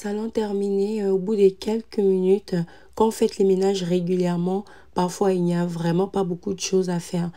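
A woman in her thirties, a mother, narrating a cleaning vlog in a calm, relaxed voice-over with frequent hesitant fillers. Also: medium pitch, moderate pace. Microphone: voice-over recorded separately.

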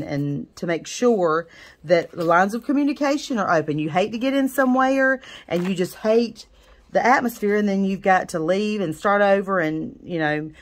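Woman, lowish voice, chatty, nail tech book review, fillers and restarts.

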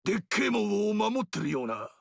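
A man voicing a boisterous, proud barbarian king with a gravelly, blustering, shouting delivery in video game dialogue. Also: high-pitched, big pitch swings, fairly quick, no echo, studio quality, heavily acted. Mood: boastful. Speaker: warlord character.

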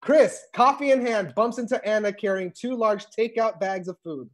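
A man's voice: high voice, normal speed. A man in his thirties reading stage directions and chatting casually on a video call through earbuds. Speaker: host and stage-direction reader. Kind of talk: table read narration and casual chat. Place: indoor room on a video call. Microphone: wired earbuds on a video call.

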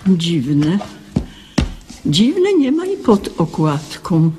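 An actress playing a suspicious, moralizing old grandmother in a radio drama, with a husky, raspy voice and a fussy, admonishing manner. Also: on the low side, huge pitch swings, extremely slow, mellow, a little hiss or hum, full character voice. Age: older.

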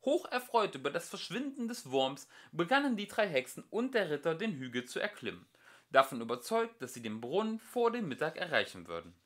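A man reading a fairy tale aloud as an amateur audiobook narrator, with expressive storytelling. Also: a little high, fairly quick.

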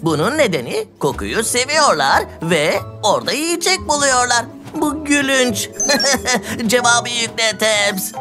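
A woman's voice: on the high side, very animated, slow, music in the background. A woman voicing a cheerful, curious young male cartoon adventurer who exclaims and explains things simply in a children's dub. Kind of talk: children's cartoon dubbing in Turkish.

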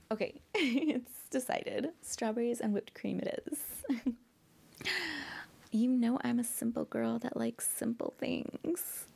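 A woman's voice: on the high side, fairly even intonation, slow, vocal fry, dark tone, heavily acted. A young woman playing a bratty, pouting girlfriend in an ASMR audio roleplay, pleading and often dropping to whispers. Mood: playful, content. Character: bratty pouting girlfriend begging her partner.

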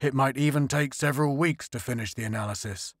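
A man voicing a calm, determined male space officer in a story reading. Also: mid-range voice, fairly quick, no echo, studio quality, lightly acted. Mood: steady.

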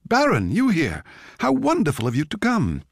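A man performs an excitable young composer for a radio play. He is giddy and exclamatory, pitched well up, with a lively swooping delivery. His welcomes turn sing-song and manic. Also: normal speed, creaky, heavily acted. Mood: joyfully surprised.

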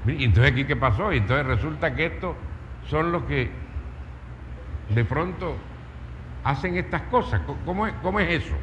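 A man's voice: fairly high pitch, expressive, normal speed, long pauses. Emphatic Caribbean Colombian man in a hall. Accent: Colombian Caribbean coast. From Caribbean coast of Colombia. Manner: rhetorical, emphatic, with lists and questions. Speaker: speaker, writer. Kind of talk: storytelling public talk. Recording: dull, reverberant hall with faint noise.

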